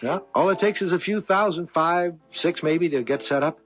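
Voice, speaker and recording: An actor playing a smooth-talking, ambitious salesman, breezy and persuasive, in the style of 1970s radio drama. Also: fairly high pitch, moderate pace, soft background music, close to an everyday voice. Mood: confident. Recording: dull 1970s radio recording.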